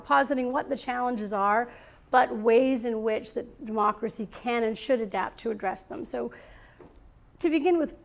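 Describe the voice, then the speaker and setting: A woman hosting a salon event, talking in a fairly high voice. Her speech is chatty and halting, full of fillers and restarts. She introduces a guest in front of a live audience. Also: creaky. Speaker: salon host and moderator. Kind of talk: live onstage introduction and interview.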